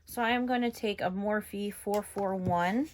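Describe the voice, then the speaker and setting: A woman's voice: medium pitch, unhurried. Chatty New York woman doing makeup. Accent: general northeastern American. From New York, USA. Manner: chatty, rambling, lots of fillers. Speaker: beauty vlogger. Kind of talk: casual makeup tutorial chat.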